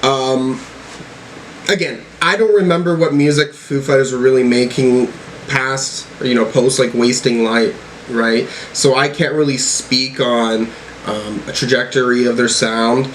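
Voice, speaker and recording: A man's voice: medium pitch, steady tone, measured pace, low-quality recording. Rambling man reviewing music, audible background noise. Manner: rambling, profane, frequently checking with "right?" and "you know". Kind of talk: casual album reaction commentary.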